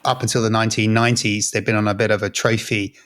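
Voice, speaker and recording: A man's voice: on the low side, flat delivery, fast talker, fairly bright tone. A man co-hosting a football podcast, chatting in a playful, teasing way. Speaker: podcast co-host. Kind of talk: casual podcast chat.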